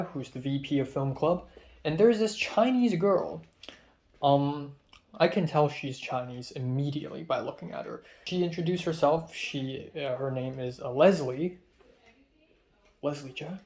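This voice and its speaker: A man's voice: a little high, a little mellow. A young man from Hong Kong whose first language is Cantonese, rambling through a casual vlog story about college with lots of fillers and asides. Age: young adult. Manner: rambling, chatty, frequent fillers and trailing-off asides. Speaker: college student vlogger.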